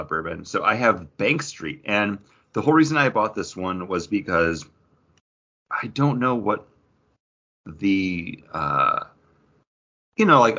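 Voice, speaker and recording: A man's voice: fairly low pitch, bright, forceful tone. A man co-hosting a podcast in a jokey, rambling, casual chat with friends. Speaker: podcast co-host. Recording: dull lo-fi voice recording.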